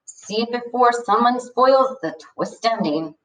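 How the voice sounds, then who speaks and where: A woman's voice: a little high, lively intonation, measured pace, fairly bright tone. A woman reading as a sarcastic, melodramatic schoolboy, mock-grand in a storybook read-aloud. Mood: mock-dramatic. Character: sarcastic, melodramatic schoolboy writing reviews and letters. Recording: distant microphone.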